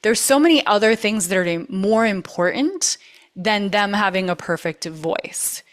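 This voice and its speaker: A woman's voice: mid-range voice, expressive, a little slow. Earnest, vocally trained woman coaching. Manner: earnest, emphatic, repeats key words. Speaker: masterclass host. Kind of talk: casual coaching talk.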